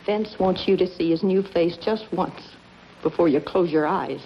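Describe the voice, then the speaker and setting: A bitter, scheming noir dame acted by a woman with a lowish, hard-boiled voice. Her clipped, world-weary dramatic delivery comes through a dull, hissy 1950s film soundtrack. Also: moderate pace, close to an everyday voice.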